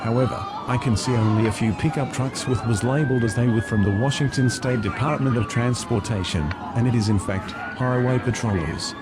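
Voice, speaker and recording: A man's voice: mid-range voice, a little fast, hardly any pauses, over a noisy crowd, natural voice. A man playing a TV field reporter, giving a scripted, serious live report from a crime scene. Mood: serious, uneasy. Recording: very loud sirens and traffic noise. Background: police sirens, vehicles, street ambience.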